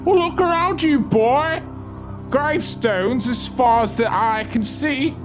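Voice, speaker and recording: An actor playing an old English cemetery groundskeeper, blunt yet courteous, in an audio drama. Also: male voice, extremely high pitch, slow pace, over music. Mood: blunt, challenging. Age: older.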